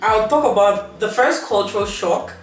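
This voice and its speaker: A woman's voice: mid-range voice, normal speed. Ghanaian-accented woman telling stories in an echoey room. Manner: animated, acts out the people in her stories. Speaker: vlog guest. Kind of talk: casual storytelling. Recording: echoey room, far from mic, faint noise.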